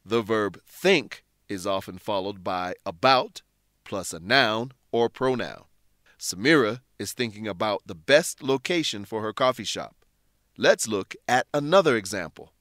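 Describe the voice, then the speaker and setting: A man narrating a scripted English lesson, explaining clearly and deliberately as a teacher. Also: on the low side, animated, a bit bright, no room sound, studio quality.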